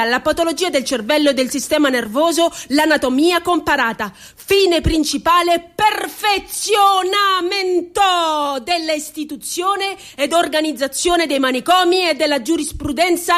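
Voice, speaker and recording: A woman's voice: high voice, moderate pace, fairly bright tone, voice pushed up. A woman voicing a pompous nineteenth-century male psychiatrist, declaiming formally in a theatrical radio drama. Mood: grandiose, declaiming.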